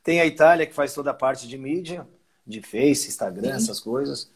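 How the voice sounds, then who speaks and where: Husky fifty-year-old man, rambling chat. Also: medium pitch, normal speed. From southern Brazil. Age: 50s. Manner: rambling, restarts phrases, chuckles often. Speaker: winery owner, guest. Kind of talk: casual conversational interview.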